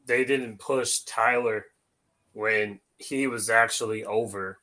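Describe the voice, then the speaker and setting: A man's voice: fairly low pitch, fairly even intonation, takes it slowly, bright, forceful tone. A man in a casual, opinionated discussion, emphatic and circling back to press his point. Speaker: podcast panelist.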